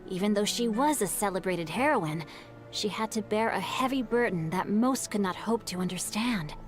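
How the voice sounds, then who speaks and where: A woman voicing a gentle, bookish young noble boy in a video game, polite and eloquent. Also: mid-range voice, animated, normal speed, lightly acted. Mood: courteous. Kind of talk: video game character dialogue. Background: faint music.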